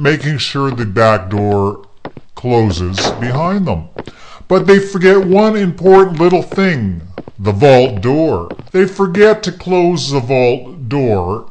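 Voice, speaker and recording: Slow-paced male radio-play narrator. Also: mid-range voice, a little hiss or hum.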